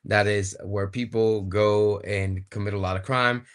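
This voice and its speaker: A man with a fairly low voice speaks as a guest in a casual interview. He is plain-spoken and explanatory, telling stories conversationally. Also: steady tone, measured pace.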